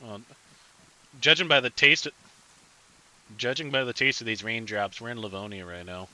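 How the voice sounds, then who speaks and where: Chatty man with a sharp, bright voice. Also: medium pitch, normal speed, rough recording. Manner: rambling, chatty. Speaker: streamer. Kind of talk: casual gaming chat with teammates.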